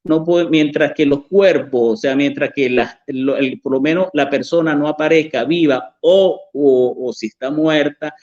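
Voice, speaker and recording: A man's voice: on the high side, unhurried, few pauses, a little mellow, dull audio. A man with a Venezuelan accent, an author answering interview questions in a hesitant way with many fillers and restarts. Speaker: author and journalist, interview guest.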